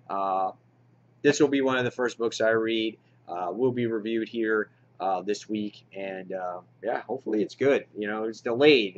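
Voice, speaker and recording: A man hosting a casual, unscripted review vlog, talking to his viewers with an enthusiastic fan's manner. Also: on the low side. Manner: enthusiastic fan talk, addresses viewers directly. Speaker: comic book reviewer, channel host. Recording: dull, lo-fi home recording.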